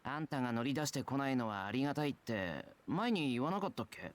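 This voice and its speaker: A man's voice: medium pitch, lively intonation, very fast, lightly acted. An actor playing an earnest, straightforward young man in a visual novel, sincere and politely curious. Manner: sincere, plain-spoken. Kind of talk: Japanese visual novel voice acting.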